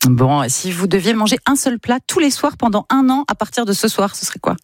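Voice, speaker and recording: A woman's voice: medium pitch, very animated, a little fast, no echo, clean studio sound. A woman hosting a conversational interview, putting questions to a guest in an informal, playful way. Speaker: radio or show host interviewing a guest.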